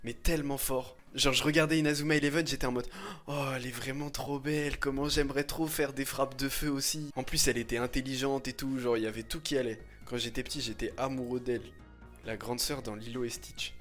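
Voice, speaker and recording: A man, chatting casually on a livestream in a slangy, teasing, expressive way. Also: medium pitch, brisk, soft background music. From France. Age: 20s. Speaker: livestreamer reacting to images and chat. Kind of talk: casual chat, livestream commentary.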